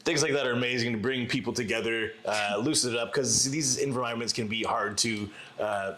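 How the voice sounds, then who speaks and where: Man, host, casual chat, laughs a lot, self-deprecating, bright edgy voice. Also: mid-range voice, fairly quick, slight background noise. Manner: laid-back, laughs often, self-deprecating. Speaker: podcast host. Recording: echoey room.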